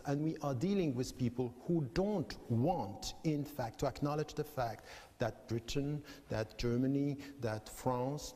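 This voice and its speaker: A man from Switzerland answering as a guest academic, explaining in a measured way and repeating phrases for emphasis. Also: mid-range voice, a little mellow. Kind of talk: debate answers. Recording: long hall reverb.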